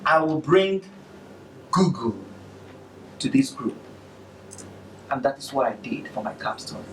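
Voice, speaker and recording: A man with a Nigerian accent giving a presentation to an audience in a measured, emphatic way. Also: medium pitch, expressive, roomy sound, far from the mic, slight background noise, poor audio. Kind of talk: capstone presentation.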